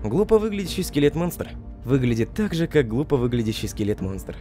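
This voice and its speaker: A man plays a droll commenting voice in a comic dub. His tone is dark and mellow with swinging pitch, making dry remarks over soft guitar. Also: very animated, fairly quick, lightly acted. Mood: dry, mocking.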